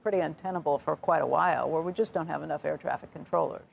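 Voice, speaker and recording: Low, creaky-voiced woman news interviewer. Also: quick pace, slight background noise. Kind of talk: probing live news interview.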